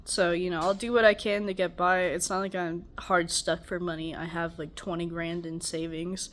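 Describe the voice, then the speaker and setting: A woman's voice: fairly low pitch, level tone, normal speed. A young woman with a stuffy, congested voice, rambling wearily through a casual check-in at home. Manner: rambling, weary, self-deprecating. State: tired. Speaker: vlogger. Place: home, beside a loud air conditioner.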